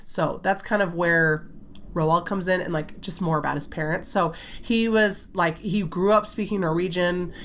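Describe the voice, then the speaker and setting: A woman tells a story to her channel in a loose, chatty way, giggling and leaning on filler words. Her voice sits a little low and carries a slight stuffiness from a fading cold. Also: steady tone, normal speed, a bit bright, a little hiss or hum. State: slightly congested, recovering from a cold. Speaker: children's book author and channel host. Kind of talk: casual storytelling vlog.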